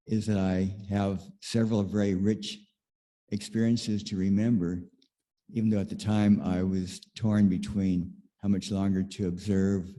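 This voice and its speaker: Deep voiced guy, super mellow and dark, measured and plain, telling a personal story to the group. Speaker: congregation member sharing in church discussion. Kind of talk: personal anecdote, reflective.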